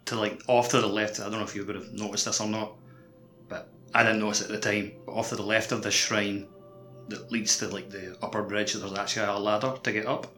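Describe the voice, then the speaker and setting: A man's voice: fairly low pitch, level tone, brisk, pushed and bright, faint music behind. A man co-hosting a casual gaming podcast, peppering his sentences with filler words. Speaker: podcast co-host. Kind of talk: casual discussion of a video game.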